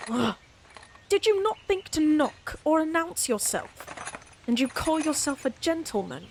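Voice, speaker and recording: A woman's voice: high-pitched, very animated, measured pace, darkish tone, slight background noise, exaggerated voice. A woman playing a proud, indignant lady knight in a fantasy audio roleplay, haughty and formal with a cutting tongue. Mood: indignant sarcasm.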